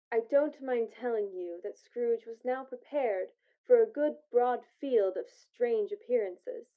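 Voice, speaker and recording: A woman playing the narrator of a radio play, telling the tale in a confiding way straight to the listener. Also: on the high side. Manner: confiding, addresses the listener directly. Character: storyteller narrating a Christmas ghost tale. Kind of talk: scripted storytelling narration. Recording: thin, phone-like audio.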